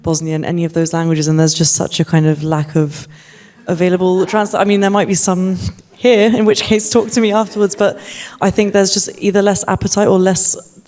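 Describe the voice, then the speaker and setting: Flat, mellow panelist from Kosovo. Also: mid-range voice, normal speed. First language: Albanian. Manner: hesitant, searching for words. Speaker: publishing editor on a panel. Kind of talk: live panel discussion.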